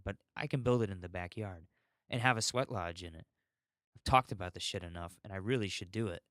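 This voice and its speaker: An American man with a fairly low voice delivers a rambling solo podcast monologue. He talks in an unfiltered, ranting way and swears freely. The sound is dry and close, with little room in it. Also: a bit bright, clear, resonant tone, dry recording. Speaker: podcast host.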